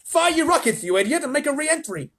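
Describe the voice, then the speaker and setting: A man voicing a blustering, panicky president yelling commands. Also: extremely high pitch, sing-song, slow pace, pitched above the performer's own voice, exaggerated voice. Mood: frantic yelling.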